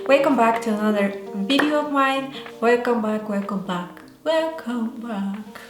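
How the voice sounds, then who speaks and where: A woman with a Bulgarian accent talking casually as a vlogger, in a halting way that often pauses mid-sentence. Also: a little high. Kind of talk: casual talk to camera. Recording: roomy echo, faint music behind.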